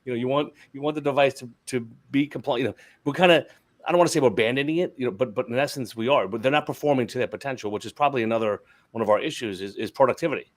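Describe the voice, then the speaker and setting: A man's voice: medium pitch, rapid-fire. A man hosting a casual panel discussion on IT, often repeating words and restarting sentences. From Long Island, New York. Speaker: show host, IT provider.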